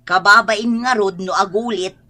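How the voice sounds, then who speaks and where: A bright, cutting voice with a Filipino accent from a woman acting. She is a sharp-tongued, indulgent wife and mother in a radio drama, with a mid-pitched delivery. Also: steady tone, moderate pace, close to an everyday voice. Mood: defensive. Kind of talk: Ilocano audio drama acting.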